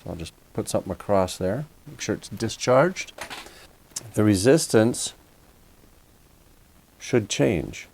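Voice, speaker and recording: A man's voice: lowish voice, animated, slow pace, gravelly, long pauses, a little mellow, a little hiss or hum, rough recording. A man giving a casual hands-on repair walkthrough, explaining things methodically, step by step. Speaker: repair video host.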